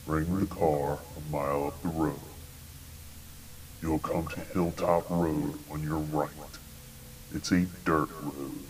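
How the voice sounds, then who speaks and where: A man playing a sinister, taunting entity that lives in television static, speaking in a calmly menacing, mocking manner in an analog horror drama. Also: a bass voice, poor audio. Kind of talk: analog horror scripted dialogue.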